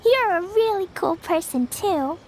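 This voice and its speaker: An actress voicing a sweet, innocent, excitable little girl in a video game, childlike and earnest. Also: very high-pitched, unhurried, slight background noise. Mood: earnest, delighted. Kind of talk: English video game voice acting.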